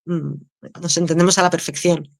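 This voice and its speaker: Candid actress with a canarian accent. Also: female voice, lowish voice, normal speed, gravelly. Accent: Canarian Spanish. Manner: candid, expressive, laughs easily. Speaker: actress, guest. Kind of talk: casual interview answers.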